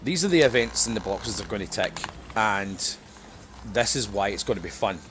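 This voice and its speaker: A man's voice: on the low side, lively intonation, normal speed. A man chatting casually with friends while gaming, in a rambling, self-deprecating way. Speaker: podcast guest, dungeon master. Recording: clearly noisy background.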